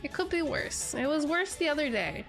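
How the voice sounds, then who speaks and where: A woman's voice: sits high, very animated, normal speed, creaky, fairly bright tone, soft background music. A young woman streamer chatting casually with her viewers, stuffy and congested from allergies, giggly and rambling with lots of "like"s. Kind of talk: casual livestream chat reading comments.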